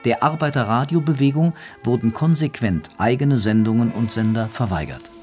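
A man's voice: medium pitch, moderate pace, a little mellow, soft background music. A man reading scripted narration for a radio documentary.

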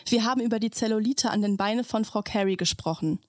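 A woman's voice: medium pitch, quick pace, darkish tone, no echo, clean studio sound. A woman co-hosting a podcast, telling a story conversationally in an indignant, emphatic, colloquial way and quoting as she goes. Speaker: podcast co-host.

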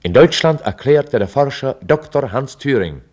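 An actor playing a formal chronicler, narrating a scripted radio drama in a documentary manner. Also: male voice, medium pitch, animated, normal speed, darkish tone, soft background music, lightly acted. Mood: measured, dry. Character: formal chronicler recounting a history.

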